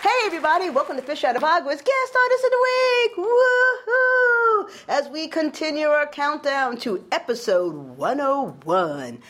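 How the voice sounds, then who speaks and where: A woman hosting a radio show in a bubbly, animated way. Her pitch swings widely as she talks, climbing high when she cheers. She speaks into a studio microphone. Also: normal speed, hardly any pauses, some chatter behind, slight background noise.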